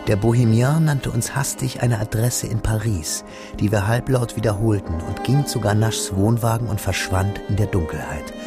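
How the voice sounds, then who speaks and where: An actor narrating a literary radio drama as a reflective, wistful man looking back on his schooldays, measured and solemn. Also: lowish voice, music in the background, close to an everyday voice. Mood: solemn, hushed.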